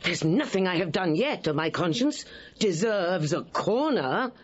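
Slow, expressive proud queen. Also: fairly low pitch, slightly rough, muffled recording. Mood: proud, defiant. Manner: formal, measured, emphatic. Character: proud, wary wronged queen, grave and pleading. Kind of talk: Shakespearean radio drama acting.

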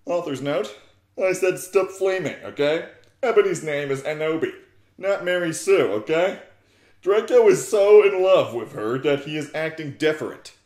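A man in his twenties giving a theatrical, mock-serious reading of fan fiction, deliberate in his delivery. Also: on the high side, animated, a little mellow, echoey room. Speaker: narrator, YouTube host. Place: small living room, indoors. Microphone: desktop USB microphone close by.

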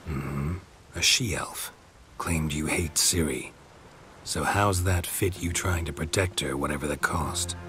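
An actor playing a gruff, stoic, sardonic monster hunter in a video game, with a low gravelly voice and clipped deadpan delivery. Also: male voice, slow, heavily acted. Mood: dry, probing.

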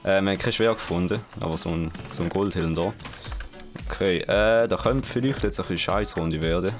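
A young man with a Swiss German accent talks through a round of a game as he plays it. His voice is low, and his delivery is casual and excitable, full of quick reactions and fillers. Faint music plays beneath him. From Switzerland. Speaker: gaming channel host. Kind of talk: live game commentary.

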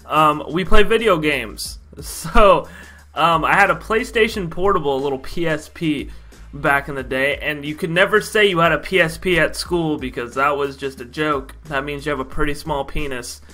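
A young American man with a fairly high, bright voice talks casually to his viewers. He is a chatty storyteller who addresses them like a showman, recorded at home in a small room. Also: normal speed, soft background music. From Idaho, United States. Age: young adult. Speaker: vlogger telling personal stories.

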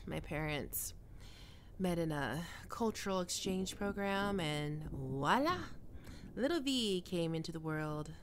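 A woman's voice: lowish voice, animated, slow, slight background noise. A woman playing a defensive young half-vampire catgirl roommate in an ASMR roleplay, teasing and stammering with hesitant tsundere bluster. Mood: snarky, defensive.

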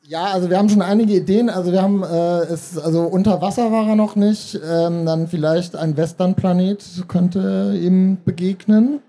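A man's voice: high-pitched, steady tone, normal speed, few pauses, warm tone. A man speaking casually as a guest in an on-stage interview, hesitant and searching for words. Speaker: podcast author, interview guest. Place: stage at a book fair. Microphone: handheld microphone.

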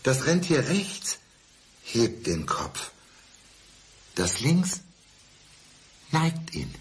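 A man's voice: medium pitch, huge pitch swings, extremely slow, breathy edge, exaggerated voice. An actor playing a brooding, deceitful, despairing man in a radio crime drama, speaking with intense deliberation. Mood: probing, intense. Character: brooding, deceitful man feigning blindness, despairing, country-dwelling.

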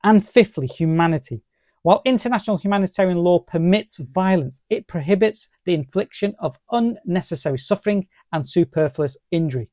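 A middle-aged man delivers scripted explanatory narration. His tone is mellow and his manner is formal and deliberate, with emphasis on key terms. The sound is close and dry, with very little room in it. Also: fairly high pitch, no echo. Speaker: presenter of legal explainer.

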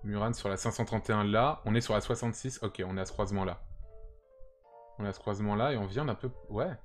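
A man's voice: fairly low pitch, fast talker. A young man from France, chatting casually and thinking aloud on a game livestream, close on the mic. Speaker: live streamer. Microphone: close boom mic with headset. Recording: music playing under the voice.

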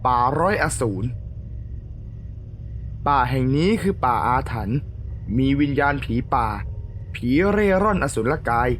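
A man voicing a solemn senior forest monk, formal and instructive, in an animated horror story. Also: fairly high pitch, slight background noise, natural voice.

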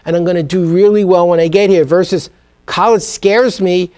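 Man, middle-aged, high-pitched, emphatic, salesman-like, studio interview. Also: steady tone, normal speed, darkish tone. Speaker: guest, speed reading teacher. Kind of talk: TV business interview. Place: TV studio set.

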